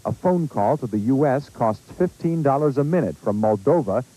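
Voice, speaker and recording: Mellow American male news correspondent. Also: medium pitch, animated, moderate pace, poor audio. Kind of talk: scripted report narration.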